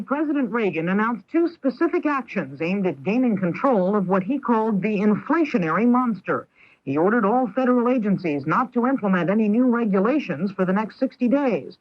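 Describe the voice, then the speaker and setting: News anchor, scripted delivery, medium pitch, dull lo-fi old radio recording. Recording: dull lo-fi 1980s radio broadcast.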